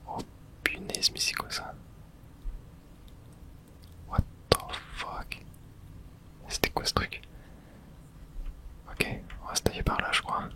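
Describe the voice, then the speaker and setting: A woman's voice: slow, long pauses. Female ASMR streamer, whispered game commentary with casual slang and spoken reactions to play.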